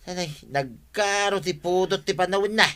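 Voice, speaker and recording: An actor playing a broke, lazy tricycle driver who whines and pleads, in a comic radio drama with an Ilocano accent. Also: male voice, high-pitched, normal speed, sharp tone. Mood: puzzled, complaining.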